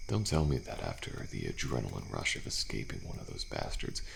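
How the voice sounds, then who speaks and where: A man playing a weary, thrill-seeking survivor in an intimate ASMR audio roleplay, talking in a slow, laid-back drawl with pauses and sighs. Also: wildly swooping pitch, a bit bright, slight background noise, full character voice. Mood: wry, fatalistic. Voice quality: deep, gravelly. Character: weary, thrill-seeking male survivor of endless killer trials. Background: campfire ambience with crickets.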